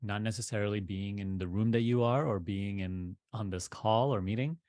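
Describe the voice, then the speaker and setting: A man with a fairly low voice leads a guided meditation as a teacher. He speaks deliberately, in short phrases set apart by pauses, and the recording is very dry and close, free of room sound. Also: darkish tone, no echo.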